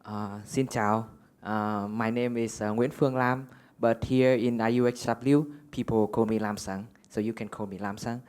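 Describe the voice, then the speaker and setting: A man from Vietnam, a graduate student and doctor, introducing himself haltingly. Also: on the low side, fairly even intonation, darkish tone, smooth. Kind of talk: self-introduction.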